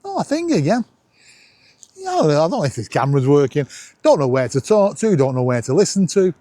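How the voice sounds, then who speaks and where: Male vlogger, Northern English accent. Slightly rough, husky tone, highly animated intonation, cheeky self-mocking chat. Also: fairly quick, no room sound. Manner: jokey, animated, self-deprecating. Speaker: vlog host. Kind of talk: casual vlog chat. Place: outdoors at night on a seafront promenade. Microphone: camera worn around the neck.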